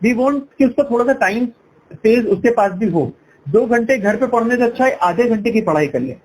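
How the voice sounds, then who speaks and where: A man's voice: very high-pitched, lively intonation, moderate pace, darkish tone. A man working as an education sales counselor, explaining persuasively on a call, mixing Hindi and English and checking in with tag questions. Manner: switches between Hindi and English. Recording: noisy sales call recording.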